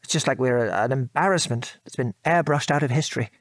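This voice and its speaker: A man's voice: mid-range voice, fast talker, dry recording, natural voice. An actor playing a modest, remorseful English father and former RAF bomb aimer in an audio drama, with a British accent and hesitant, genteel speech. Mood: bitter. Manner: hesitant, reflective, genteel old-fashioned turns of phrase. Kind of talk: audio drama acting, reminiscing monologue.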